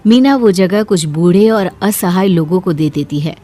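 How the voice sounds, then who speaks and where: A woman's voice: on the low side, moderate pace, faint music behind. Woman narrating a cartoon story. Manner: steady, clear storytelling.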